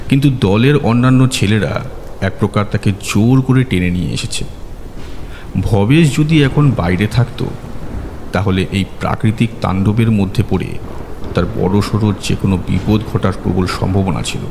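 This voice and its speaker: A man's voice: lowish voice, darkish tone, a little hiss or hum. A man reading a scripted horror story as a dramatic narrator. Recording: long reverb, music bed behind.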